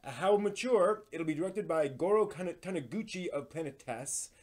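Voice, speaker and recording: A man hosting a live stream, reading entertainment news in an informal, somewhat halting way with casual asides. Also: a little high, animated, a little mellow. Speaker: live stream host presenting anime news.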